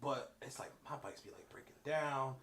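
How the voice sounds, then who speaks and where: A young man hosting a casual podcast, animated and joking, with hype-style intros. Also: medium pitch, moderate pace, a little hiss or hum. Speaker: podcast host.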